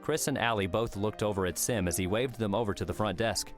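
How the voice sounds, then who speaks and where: A man's voice: fairly low pitch, a little fast. A man reading scripted audiobook narration as the narrator of a novel. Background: soft music.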